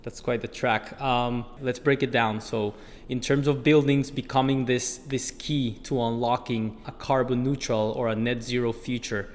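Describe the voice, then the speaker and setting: A man's voice: medium pitch, in a large hall. A man hosting an interview podcast, asking long questions with frequent "uh" fillers. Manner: measured, many "uh" fillers when asking. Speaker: podcast host. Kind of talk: scripted intro then interview questions.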